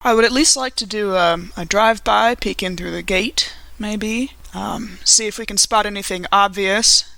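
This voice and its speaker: A woman's voice: medium pitch, normal speed, vocal fry, pushed and bright, dry recording. A woman playing a tabletop game, chatting in an informal, enthusiastic way. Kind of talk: casual game chat.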